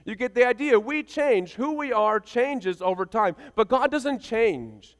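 A pastor in his thirties delivers a sermon in a raised, clear and resonant voice. He builds emphasis through rhythmic, repeated phrases. His voice carries a long reverb, as in a church sanctuary. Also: very high-pitched, animated, unhurried. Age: 30s. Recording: reverberant hall sound.

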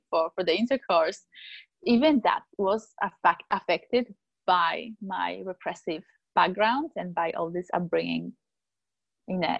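A woman's voice: mid-range voice, slightly rough, no echo, lo-fi sound. A woman from Poland speaking about her work as a guest, in earnest, flowing answers. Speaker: tantra teacher and guest. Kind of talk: conversational interview answers.

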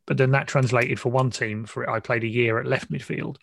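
Casual podcast host, creaky male voice. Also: medium pitch, steady tone, fairly quick, a bit bright. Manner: chatty, self-deprecating. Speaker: host of a football discussion. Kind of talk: casual conversational interview.

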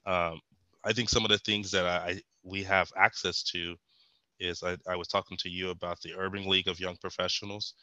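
A young man from Texas talks through a casual interview, wandering from point to point with lots of ums and restarts. His voice sits very low, yet the tone is bright and forceful. The sound is dry and close. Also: level tone, brisk, dry recording. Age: young adult. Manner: rambling, frequent ums and restarts. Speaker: guest.

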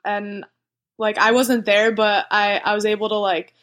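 A young woman talks as a podcast guest at a middle pitch. She sounds relaxed and a little halting. She restarts her sentences and fills gaps with "like". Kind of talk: casual chat.

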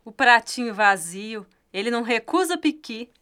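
A woman's voice: on the high side, animated, normal speed, pushed and bright, smooth. A woman acting in a Brazilian radio drama as a bitter, longing fifty-one-year-old retired detective, with a Brazilian accent. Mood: grumbling.

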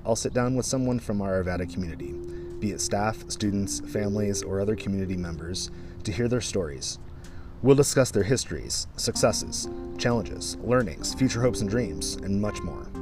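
A man hosting a school community interview podcast as an assistant principal, with a measured, upbeat delivery. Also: on the low side. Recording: music bed under the voice. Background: background music.

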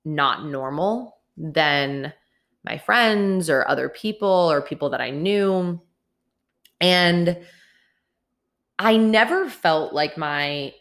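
A woman in her late twenties hosts a podcast alone. Her voice sits low, and she speaks earnestly and openly, sometimes restarting a phrase. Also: fairly bright tone. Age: 20s. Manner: candid, earnest, with some restarts. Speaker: podcast host. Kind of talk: solo podcast monologue.

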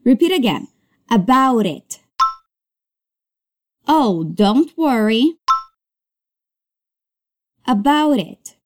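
A woman teaching English as a language lesson, modeling words slowly and carefully before brisk explanations. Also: fairly high pitch, sing-song, darkish tone. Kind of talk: slow drilled pronunciation lesson.

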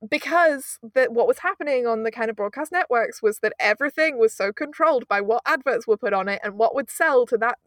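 A woman's voice: on the high side, animated, brisk, few pauses, fairly bright tone, no room sound. A woman speaking as an interview guest, effusive and emphatic, often wandering off into asides as she talks. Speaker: guest, audio drama producer. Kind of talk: enthusiastic interview conversation.

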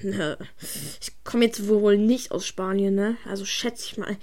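A woman's voice: medium pitch, fairly even intonation, measured pace, darkish tone. A woman from Germany answering viewer questions in a casual chat, stumbling over words and using slang. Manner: frequent stammers and restarts, slangy.

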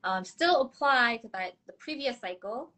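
A woman's voice: a little high, lively intonation, moderate pace, sharp tone. A young woman from South Korea, a dentist, answering interview questions in a candid, bubbly way and laughing often. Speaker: podcast guest, dentist.

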